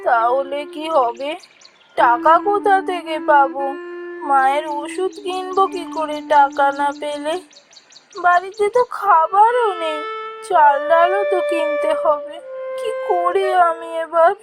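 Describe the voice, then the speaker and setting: A woman plays a poor young village girl in a fairy-tale cartoon. She is dutiful and worried, with a high, plaintive voice over music. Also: slow pace. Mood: despairing. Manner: plaintive, earnest.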